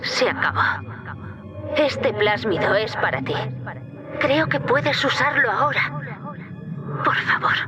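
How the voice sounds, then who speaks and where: Game daughter: gentle, imploring teen girl, very slow delivery, music behind. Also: fairly high pitch, very animated. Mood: tender, pleading. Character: tender, pleading teenage daughter. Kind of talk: dramatic video game dialogue. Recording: music under the voice.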